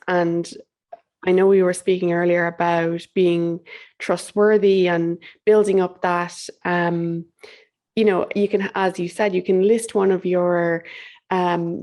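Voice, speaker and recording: A woman hosting a casual business talk, thinking aloud with frequent pauses and fillers as she frames questions. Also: lowish voice, darkish tone. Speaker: host.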